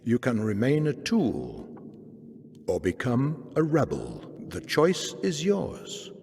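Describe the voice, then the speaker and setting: A stern man declaiming riddles in a video game. His voice is husky and creaky, with deliberate theatrical phrasing. It rings in a long hall reverb. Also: mid-range voice, huge pitch swings, darkish tone, full character voice. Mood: stern, cryptic. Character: stern, cryptic man passing judgment in riddles.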